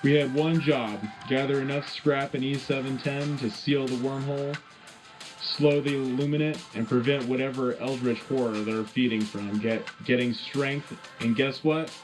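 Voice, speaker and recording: An actor playing a gung-ho radio news host in a sci-fi war, delivering scripted bulletins in an urgent, folksy, rallying way. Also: male voice, medium pitch, fairly even intonation, moderate pace, natural voice, rough recording. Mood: grave, frank. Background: music.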